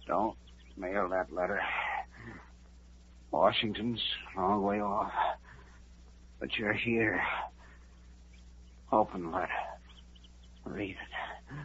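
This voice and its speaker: A wounded settler, gasping. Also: male voice, low-pitched, fairly bright tone, heavily acted. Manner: halting, breathless, broken phrases. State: wounded, weak and fading. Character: gravely wounded male settler, frightened and urgent. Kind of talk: 1950s radio drama acting. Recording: muffled, hissy 1950s radio broadcast.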